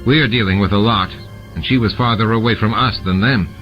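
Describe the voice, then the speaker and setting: A man's voice: on the low side, a little fast, muffled recording, natural voice. Man as a blunt starship engineer over music. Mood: musing. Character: blunt, practical male chief engineer. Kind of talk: audiobook character dialogue. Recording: music bed behind the reading.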